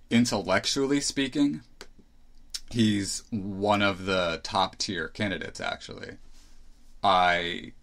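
Thirtysomething guy podcasting solo, lowish gravelly voice, rambles and restarts as he thinks. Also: fairly bright tone. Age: 30s. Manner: musing, thinks aloud with restarts and asides. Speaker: podcast host. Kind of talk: solo opinion monologue.

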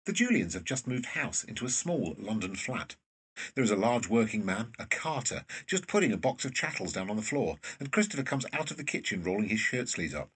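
A man narrates scripted scene directions in a fairly low, husky voice. He sounds a little way off from the microphone. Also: very animated, a little fast, a bit bright, distant mic.

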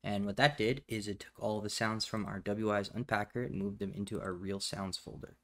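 A man with a fairly low voice walks through a software tutorial. He speaks in a plain, instructional manner, explaining things step by step as the presenter. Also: steady tone, normal speed. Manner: matter-of-fact, instructional.

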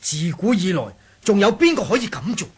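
Rebel soldier reporting to his chief, hong kong cantonese, high animated voice, respectful, old radio drama. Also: fairly quick, breathy edge, heavily acted. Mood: grave, reporting. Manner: formal, storytelling, respectful. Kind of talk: 1980s radio drama acting.

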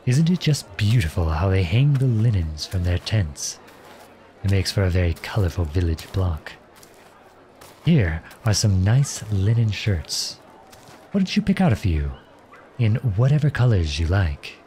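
A man acts out a charming outlaw prince in an ASMR roleplay, with a deep, soft-edged mellow voice. He talks to the listener in a courtly, gently teasing way, with lively swings in pitch. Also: a little slow, a little hiss or hum. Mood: warm, attentive. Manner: courtly, playful, tender.

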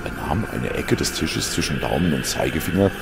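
Male sci-fi audiobook narrator: extremely low, gravelly, dramatic delivery with lively intonation. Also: a little fast. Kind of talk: dramatic scripted science fiction narration. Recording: music behind the narration. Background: music.

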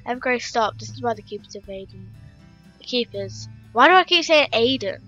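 Young female gamer, croaky and bright, rambling casual commentary over gameplay. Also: fairly high pitch, expressive, brisk, dull audio, soft background music. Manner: chatty, rambling, reads game text aloud. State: croaky, just woken up. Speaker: gaming YouTuber. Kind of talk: casual game commentary reading dialogue aloud.

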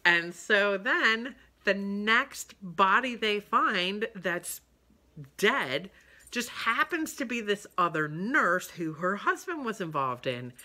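A woman's voice: medium pitch, very animated, takes it slowly, bright, forceful tone. A woman in her fifties chatting casually about books she read, rambling with lots of ums and uhs, recorded on a webcam in her living room. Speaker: book vlogger. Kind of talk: casual book review chat. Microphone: webcam at desk distance.

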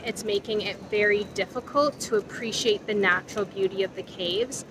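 A woman in her thirties talking to a handheld camera outdoors at a cave temple, earnest and deliberate as she lists details. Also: medium pitch. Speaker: travel vlogger. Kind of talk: casual travel vlog talk to camera. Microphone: handheld camera selfie framing. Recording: outdoor noise behind.

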